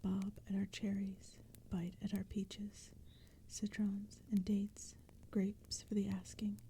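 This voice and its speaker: A woman reading poetry as ASMR narration in a soft, breathy, hushed whisper, gentle and measured. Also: mid-range voice, monotone. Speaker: narrator and reader. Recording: background crackling fire and forest ambience. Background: crackling fire and forest sounds.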